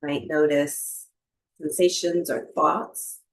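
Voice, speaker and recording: A woman leads a guided meditation in a low, soothing voice. She speaks very slowly and gently, leaving long pauses between short instructions. Her voice carries a clear roomy echo. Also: steady tone. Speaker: yoga nidra and breathwork teacher. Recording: roomy, echoing voice recording.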